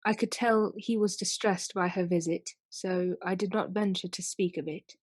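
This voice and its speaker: A woman's voice: on the low side, steady tone, moderate pace, no echo, natural voice. A woman playing a restrained, dignified widow giving formal testimony in a period radio play. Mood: composed, subdued. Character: reserved, dignified widowed lady testifying in court.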